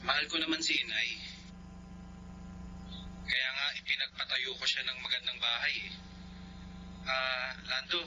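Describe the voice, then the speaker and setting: Sincere Filipino migrant worker calling home; very sharp phone-filtered voice, faint noise, radio drama. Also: male voice, mid-range voice, fairly even intonation, a little slow, long pauses. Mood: subdued, sincere. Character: ailing man working abroad, devoted son and father. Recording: quiet lo-fi phone voice, hum and noise.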